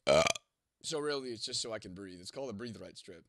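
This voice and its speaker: Young male streamer, congested and a bit hoarse, laid-back chat, dry close sound. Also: lowish voice, animated, fast talker, a bit bright, dry recording. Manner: laid-back, swears casually. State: congested, losing his voice, coughing. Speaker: livestream host. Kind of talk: casual livestream chat, reading viewer comments.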